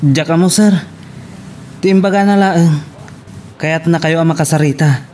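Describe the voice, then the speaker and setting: An actor playing a polite, hesitant employee of a rich boss in a radio drama. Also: male voice, on the high side, a little slow, darkish tone, a little hiss or hum, close to an everyday voice. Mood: deferential. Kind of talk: Ilocano radio drama acting.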